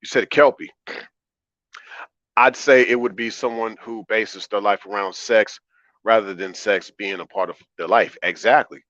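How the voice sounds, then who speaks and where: A man with a deep baritone voice talking calmly and conversationally as a livestream host, repeating phrases for emphasis. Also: a little slow. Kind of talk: conversational livestream monologue, answering chat. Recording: roomy, slightly dull lo-fi audio.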